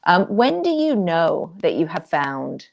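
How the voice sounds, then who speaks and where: Female interviewer, lower register with marked vocal fry, thoughtful delivery. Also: very animated, measured pace. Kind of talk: literary interview questions.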